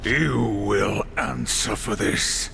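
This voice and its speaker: A man's voice: lowish voice, drawn-out words, gravelly, a little hiss or hum, exaggerated voice. A man playing a grim, vengeful supernatural warrior in a video game cutscene, stern and threatening. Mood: grim anger. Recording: loud music under the voice.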